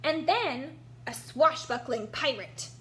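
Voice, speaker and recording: A woman's voice: sits high, lively intonation, takes it slowly, pushed and bright. A woman reading a children's storybook aloud expressively, narrating for young listeners. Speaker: storybook reader. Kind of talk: animated children's read-aloud with picture commentary. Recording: noticeable background hum.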